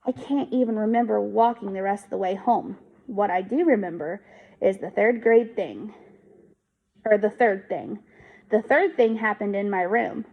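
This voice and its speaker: A woman teacher reading a children's book aloud in an expressive storytelling style. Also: mid-range voice, vocal fry, lo-fi sound.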